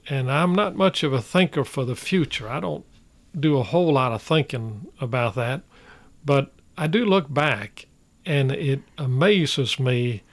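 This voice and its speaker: An older man from Norway, a radio guest, telling his life story in a slow, halting way with many pauses. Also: mid-range voice. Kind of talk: reflective radio conversation.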